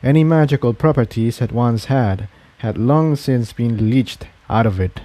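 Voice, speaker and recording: Male audiobook narrator for fantasy adventure fiction, medium pitch, very dry close recording. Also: measured pace, mellow, no echo. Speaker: narrator reading fan fiction. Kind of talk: audiobook-style scripted narration.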